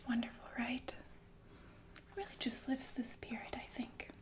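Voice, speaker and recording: A woman playing a sinister, falsely soothing killer nurse in an ASMR roleplay, speaking in a genteel, deliberate breathy whisper with murmured asides. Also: a little high, expressive. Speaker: ASMR performer.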